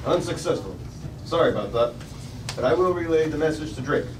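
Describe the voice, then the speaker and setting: A man's voice: fairly high pitch, expressive, a little fast, natural voice. A man reading the part of a polite male space station radio operator, clipped and procedural. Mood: businesslike. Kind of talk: table read of a sci-fi script. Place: restaurant dining room table. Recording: distant, echoey, noisy restaurant.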